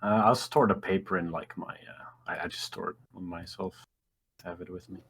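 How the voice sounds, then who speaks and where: Low-pitched man playing a tabletop game. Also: fairly even intonation, a little fast, fairly bright tone, breathy edge. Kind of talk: casual game chat.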